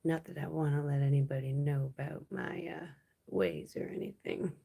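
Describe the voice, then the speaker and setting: A young woman from Canada hosting a late-night livestream, chatting with viewers in a relaxed, rambling way and laughing often. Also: low voice, normal speed, gravelly. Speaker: livestream host.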